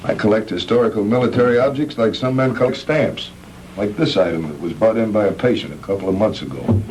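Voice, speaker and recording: A man playing a calm, frank middle-aged hospital doctor in a measured, matter-of-fact 1960s B-movie style. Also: on the low side, lightly acted. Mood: grave, recounting. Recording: noisy old 1960s film soundtrack.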